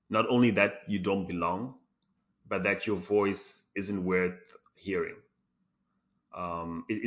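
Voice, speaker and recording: A man's voice: lowish voice, a bit bright, dull audio. A man talking reflectively in a podcast interview, with many fillers and restarts. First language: English. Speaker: design lead guest.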